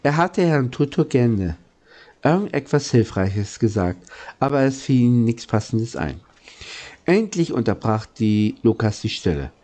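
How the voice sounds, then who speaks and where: Halting man reading a storybook, mellow voice. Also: medium pitch, very animated. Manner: halting, stumbles over words and rereads. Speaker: audiobook reader. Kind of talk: storybook narration read aloud.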